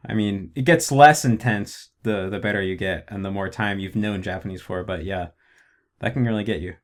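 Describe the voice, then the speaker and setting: Man hosting a relaxed interview. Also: lowish voice, moderate pace. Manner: relaxed, thoughtful, some "um" and restarts. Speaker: host, interviewer. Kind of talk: casual interview chat.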